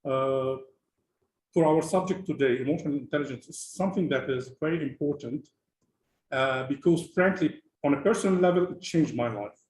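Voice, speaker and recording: A man's voice: mid-range voice, moderate pace, darkish tone, roomy sound, slight background noise, low-quality recording. A man from Lebanon moderating an online panel discussion, talking casually with many fillers and restarts over a video call.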